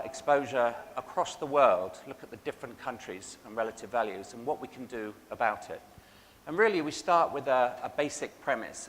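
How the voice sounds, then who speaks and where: A man with an English accent speaks to a large hall through a microphone, his voice trailing off in a long reverb. He talks in a measured way, with frequent ums and restarts, over a faint hum in the background. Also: medium pitch, fairly bright tone. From England. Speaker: keynote speaker. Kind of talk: conference keynote talk.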